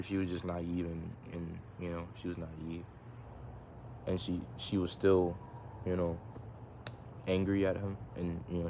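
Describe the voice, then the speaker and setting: Male vlogger, deep voice, casual and reflective, phone mic outdoors, slight background noise. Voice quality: can go monotone. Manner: rambling, reflective, many restarts and filler. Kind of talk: casual talk to camera. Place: outdoors in the sun. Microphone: phone camera.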